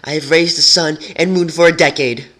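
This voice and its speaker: Man acting out an anguished high-pitched unicorn queen. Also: steady tone, fairly quick, pushed and bright. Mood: furious, desperate. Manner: indignant, impassioned pleading. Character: Twilight, anguished powerful female unicorn ruler.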